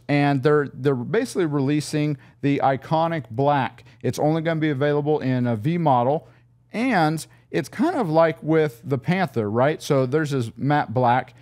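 Rambling male car-show host at a boom mic. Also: darkish tone. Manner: loose and rambling, with restarts. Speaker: podcast host. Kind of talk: casual car show chat. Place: studio set in a car showroom. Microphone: studio mic on a boom arm.

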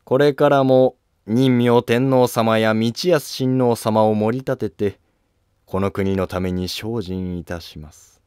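Scheming court noble man acting, composed and polite, lowish pitch, unhurried, for historical drama. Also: fairly even intonation, darkish tone, smooth, no room sound, clean studio sound. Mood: courteous, humble. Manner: polite, measured, self-assured.

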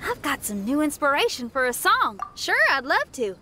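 A woman's voice: high voice, big pitch swings, moderate pace, a little hiss or hum, heavily acted. A woman voicing an upbeat, friendly young female rock musician in a video game, speaking casually. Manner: casual, colloquial, warm enthusiasm. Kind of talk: video game character dialogue.

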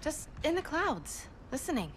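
A woman's voice: sits high, sing-song, moderate pace, a little hiss or hum. A woman playing an earnest, restless, idealistic young superheroine in a game. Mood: frustrated, pleading. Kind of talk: video game cutscene acting.

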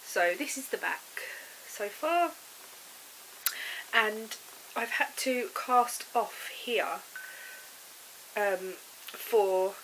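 A woman's voice: medium pitch, lively intonation, drawn-out words, long pauses, a bit bright, slight background noise, rough recording. A woman, congested with a cold, chatting casually and rambling with many ums about her knitting, on a sofa at home. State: congested with a cold and sore throat. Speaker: knitting vlogger. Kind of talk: casual chat to camera. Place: living room sofa indoors.

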